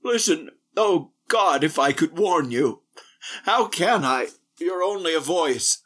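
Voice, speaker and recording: A man's voice: fairly high pitch, lively intonation, slow, a bit bright, voice pushed up, heavily acted. A man doing a trembling despairing old man of eighty. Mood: desperate pleading. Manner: pleading, despairing.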